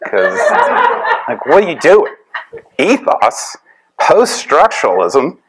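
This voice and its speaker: A middle-aged man from Tennessee giving a conversational, animated lecture to a class from a podium in an indoor lecture room. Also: on the high side, slow, very noisy. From Tennessee, USA.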